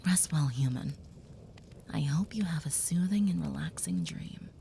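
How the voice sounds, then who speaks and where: Woman as a kind young vampire girl, soft whispers, soothing, low-ish voice, audio roleplay, faint background noise. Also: animated, unhurried, creaky, exaggerated voice. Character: gentle young female vampire caring for a human.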